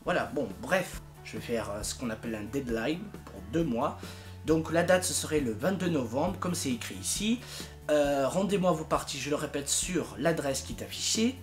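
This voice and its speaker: A young man talks informally to the members of his project over background music. His delivery is very lively and emphatic, exaggerated for comic effect, with an exasperated edge. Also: on the high side, normal speed. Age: young adult. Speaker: fandub project leader and video maker. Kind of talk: casual informal vlog announcement.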